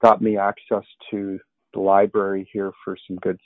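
A middle-aged man tells his story in an earnest, rambling way, often restarting his sentences. His voice sits on the low side and sounds dry and close. The sound is narrow and tinny, like a phone line. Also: completely flat delivery, normal speed, no echo. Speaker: interview guest. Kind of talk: conversational testimony.

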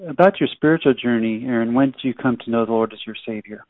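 A man's voice: medium pitch, fast talker, dry recording. Phone-like, dry-sounding male interviewer. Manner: affirming, encouraging. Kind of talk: conversational testimonial interview. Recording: dry, narrow phone-like line.

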